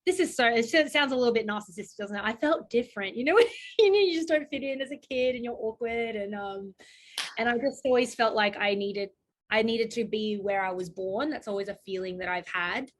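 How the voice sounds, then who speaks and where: A woman's voice: a little high, brisk. A woman, a guest astrologer talking casually in an interview, laughing often and restarting her sentences. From Australia.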